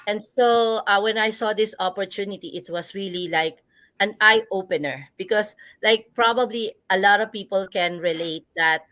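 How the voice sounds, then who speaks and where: A woman's voice: medium pitch, moderate pace, fairly bright tone, dry recording. A Filipino-accented woman in her forties, a business coach telling her own story in a live interview, earnest and chatty, often checking in with "right?". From Philippines.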